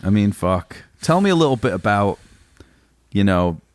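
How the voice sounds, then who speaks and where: A man's voice: on the low side, big pitch swings, normal speed, no echo. A man hosting a podcast, chatting casually in a rambling, slangy, sweary way. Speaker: podcast host. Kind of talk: casual banter.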